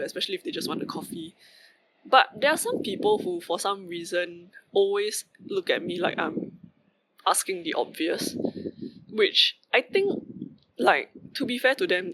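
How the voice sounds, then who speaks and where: A man's voice: sits high, creaky, a bit bright, slight background noise, low-quality recording. A young man with a Singaporean accent, talking through his thoughts in a casual, rambling monologue filled with fillers and asides. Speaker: vlogger.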